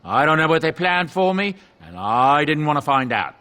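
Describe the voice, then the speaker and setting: A man's voice: a little high, big pitch swings, normal speed, bright, forceful tone. An actor playing a genial middle-aged dwarven merchant and adoptive father, telling his story in a folksy, self-justifying way for a video game. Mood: defensive.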